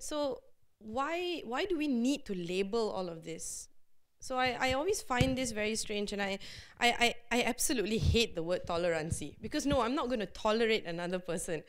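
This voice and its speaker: A woman from Malaysia, speaking frankly and with animation on a discussion panel, laughing often and restarting her sentences. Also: a little high.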